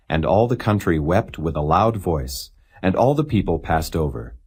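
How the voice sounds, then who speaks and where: Male scripture narrator: deep register, lively intonation, solemn and measured, no character acting. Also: slightly rough. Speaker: Bible reader. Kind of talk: non-dramatized scripture narration.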